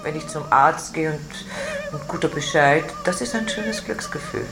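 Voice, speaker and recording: Older woman, slightly low voice, swooping intonation, emphatic short phrases, candid interview, music underneath. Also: expressive, normal speed, rough recording. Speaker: interviewee, retired performer.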